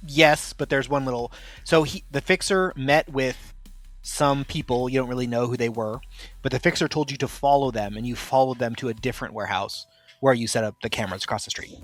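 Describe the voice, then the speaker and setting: Game master, male, mid-pitched, dry close mic, faint music bed, explanatory. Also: fairly quick, dry recording. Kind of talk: narrating a tabletop game.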